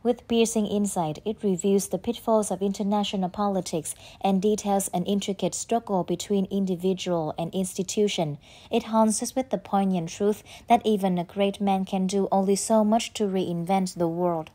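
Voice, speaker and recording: A woman with a mellow, dark-toned voice of medium pitch reads scripted passages aloud. She delivers them as a trainer's model answer, in a careful and measured way. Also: steady tone. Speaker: test-preparation trainer reading sample passages.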